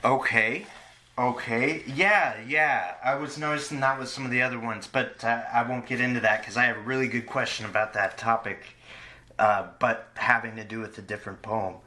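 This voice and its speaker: A man hosting a casual podcast interview, haltingly feeling his way into each question. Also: medium pitch, sharp tone.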